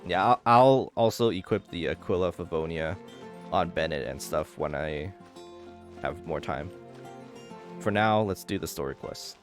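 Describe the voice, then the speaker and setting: A young man commentates casually on a video game in a low-pitched voice. He is low-key and thinks aloud, with game music softly behind him. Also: a little slow. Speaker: gaming video creator.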